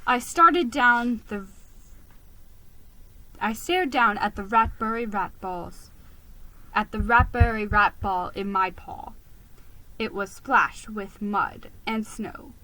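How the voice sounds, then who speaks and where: A woman giving an expressive, theatrical reading of a children's story. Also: medium pitch, takes it slowly, pauses often, low-quality recording. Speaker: storybook reader.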